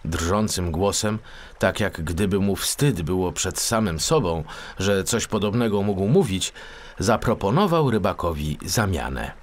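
A man narrating a children's story as an audiobook, in an expressive storytelling style. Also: deep voice, measured pace.

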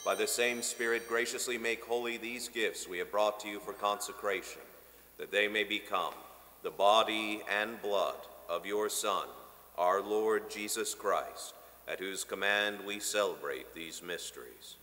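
A man in his thirties, a priest, reciting the Eucharistic Prayer at Mass in a measured, solemn way from the altar of a marble church. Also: lowish voice, steady tone, bright, forceful tone. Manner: solemn, measured recitation with slowed key phrases. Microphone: stand microphone at the altar. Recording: long church reverb.

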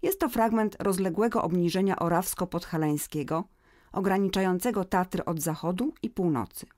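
A woman narrating a scripted educational audio guide in a measured, informative manner. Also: on the low side, darkish tone, dry recording, clean studio sound.

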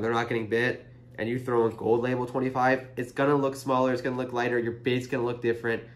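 A young man hosting a fishing channel, casually explaining his tackle to the camera in a chatty, earnest way full of "like" and "you know", recorded indoors on a camera mic. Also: mid-range voice. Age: young adult. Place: indoor room in front of a printed banner.

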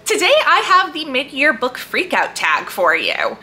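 A woman book vlogger chatting casually and enthusiastically to camera, leaning hard on emphatic, drawn-out words. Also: sits high, wildly swooping pitch, bright, forceful tone. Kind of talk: casual chat to camera about books.

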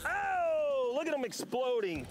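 Excitable high-voiced man whooping on a boat. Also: a little slow, noisy background. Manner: whooping, laughing, shouting "fish on". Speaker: fishing show co-host. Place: outdoors on a fishing boat at sea. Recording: boat audio under loud music.

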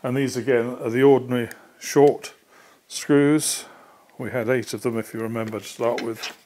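A man's voice: mid-range voice, steady tone, moderate pace, darkish tone, a little hiss or hum. A British man in his sixties patiently explaining a hands-on task step by step, as a workshop presenter. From Britain. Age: 60s. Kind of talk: hands-on assembly tutorial. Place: indoor workshop.

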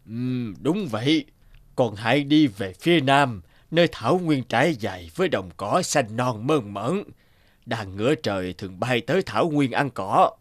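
A man's voice: mid-range voice, lively intonation, normal speed, no echo, clean studio sound, natural voice. An actor playing a kindly, solemn male god giving advice in a cartoon. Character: wise benevolent male god.

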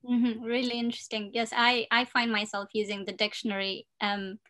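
Woman host, native Romanian speaker, moderating a video call panel, brisk, flat even voice. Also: on the high side.

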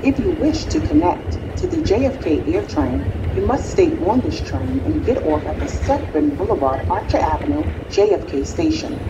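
A woman's voice: expressive, moderate pace, hardly any pauses, dull audio. A woman reading scripted passenger information announcements on a train. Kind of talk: scripted transit accessibility announcements. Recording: loud train noise throughout. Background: train rumble.

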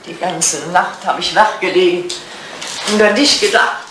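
A woman's voice: fairly low pitch, moderate pace, close to an everyday voice. An actress playing an elegant, teasing older English lady in a retro radio comedy, telling things frankly and theatrically. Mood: teasing, animated. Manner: animated, theatrical, teasing storytelling. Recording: roomy, noisy vintage radio play recording.

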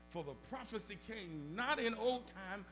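An older man with a Southern US accent, a pastor teaching Bible study in a church sanctuary, preaching into a stand mic with call-and-response and rising shouts. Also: sits high, poor audio. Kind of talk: animated preaching. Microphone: stand mic at the pulpit.

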